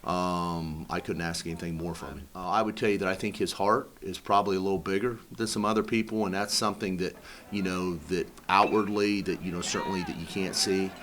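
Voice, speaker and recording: A middle-aged man, a head baseball coach, speaking in an interview with a halting delivery. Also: sits low, steady tone, a bit bright, slight background noise. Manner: halting, many fillers and restarts.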